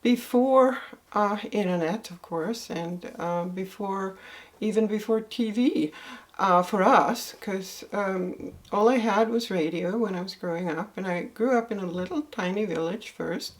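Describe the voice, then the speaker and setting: Older Swedish woman recalling her past. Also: mid-range voice. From northern Sweden. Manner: gentle, unhurried storytelling with soft laughs. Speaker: interview guest. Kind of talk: reflective life-story interview.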